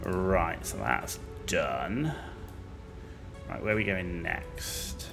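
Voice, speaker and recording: A low, gravelly British man in his forties talks his way through a game in an easy, musing manner, pausing on ums and uhs. Loud game music plays behind his voice throughout. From United Kingdom. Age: 40s. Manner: relaxed, musing aloud with fillers. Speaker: gaming video host. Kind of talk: casual gameplay commentary.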